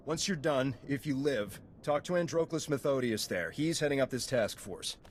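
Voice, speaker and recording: An actor playing a hard-bitten mercenary survivor in a video game, speaking in a blunt, grim, warning way. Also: male voice, medium pitch, lively intonation, moderate pace, slight background noise, lightly acted. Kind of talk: video game character acting.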